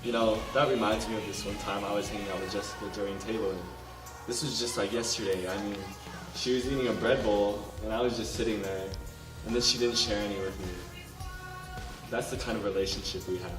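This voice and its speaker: A young man joking in a deadpan, mock-serious way in a student banquet video. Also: lowish voice, fairly quick. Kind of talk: joking testimonial. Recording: roomy sound, distant mic, music underneath.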